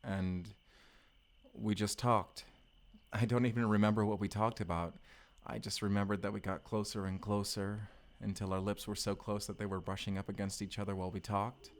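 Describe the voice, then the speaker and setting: Man acting as a low-voiced, tender young husband. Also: close to an everyday voice. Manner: intimate, rambling, bashful. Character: tender, boyish future husband, a time traveller. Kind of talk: romantic audio roleplay acting, addressed to listener.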